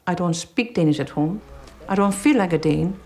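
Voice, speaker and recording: A woman politician speaking firmly and emphatically in an interview, with clear pride. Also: fairly low pitch, normal speed, darkish tone. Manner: firm, emphatic, proud. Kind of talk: interview answer.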